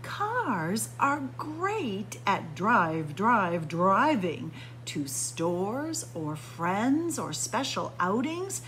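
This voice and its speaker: A woman storyteller reading a picture book aloud to young children in an expressive sing-song way, drawing out and stressing words. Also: mid-range voice, drawn-out words, slight background noise. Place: library room with bookshelves, indoors.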